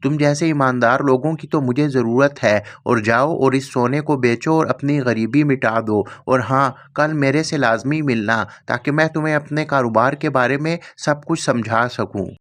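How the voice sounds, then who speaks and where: A man's voice: mid-range voice, moderate pace, few pauses, fairly bright tone, lightly acted. A man voicing a generous, hearty rich landlord in a cartoon story.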